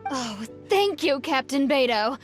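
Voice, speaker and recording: High-pitched exasperated village farmer woman. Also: expressive, measured pace, soft background music. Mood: grateful. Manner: exasperated, sarcastic. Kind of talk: video game voice acting.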